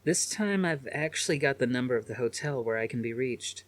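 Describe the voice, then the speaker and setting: A loving, worried mother played by a male narrator, weary and gentle. The voice sits higher than a man's usual narration and carries an anxious care, in audiobook style. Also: expressive, fairly quick, dry recording, lightly acted.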